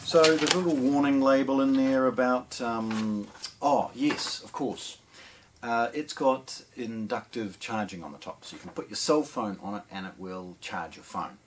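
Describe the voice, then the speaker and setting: A middle-aged man with a New Zealand accent casually presenting an unboxing, in a hesitant, improvised way with frequent pauses. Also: medium pitch. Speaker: product presenter at an audio shop. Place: small indoor showroom with shelves and speakers. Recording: clear background noise.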